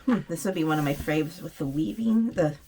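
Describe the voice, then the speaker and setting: A woman's voice: low voice, a little fast, noisy background. A woman chatting casually through a craft project, thinking aloud and correcting herself as she works. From United States. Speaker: craft channel host. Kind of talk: casual craft-along chat, narrating while working.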